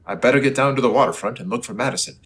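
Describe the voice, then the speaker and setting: A man's voice: mid-range voice, very fast, fairly bright tone, natural voice. A man playing a confident, upright superhero who poses as a reporter, in old radio serial style. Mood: resolute.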